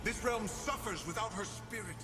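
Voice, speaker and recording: A man's voice: sits high, moderate pace. An actor playing a mournful, pleading male ghost in a video game, speaking in solemn, beseeching phrases through a ghostly reverb. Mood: eerie persuasion. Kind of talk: video game character acting. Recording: long cavernous reverb, music behind.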